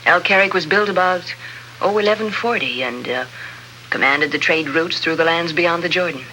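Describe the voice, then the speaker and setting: An old, faintly noisy radio drama recording carries a guarded woman archaeologist. Her voice is very bright and forward, and she speaks in a composed, formal, businesslike way. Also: fairly low pitch, moderate pace. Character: guarded, businesslike female archaeologist plotting a desert revolt. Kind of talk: 1950s radio drama acting. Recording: vintage 1950s radio recording, faint noise.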